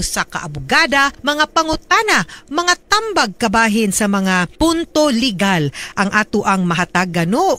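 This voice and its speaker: A woman's voice: on the high side, pushed and bright. A woman lawyer hosting a radio advice show, greeting listeners in a friendly, announcer-like way.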